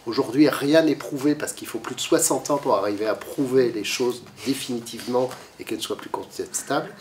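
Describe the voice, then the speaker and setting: A man's voice: mid-range voice, a little fast, a little mellow, recorded from a distance, slight background noise. A man with a cold giving a talk to a library audience, lecturing with lots of fillers and restarts, coughing now and then. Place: library meeting room.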